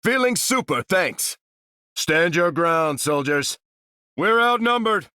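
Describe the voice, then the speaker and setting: An actor playing a bold, determined battlefield hero in a video game, rallying soldiers in a clipped military delivery. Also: male voice, sits high, expressive, takes it slowly, sharp tone, clear, resonant tone, dry recording, clean studio sound, exaggerated voice. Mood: urgent, rallying. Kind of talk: video game character voice lines.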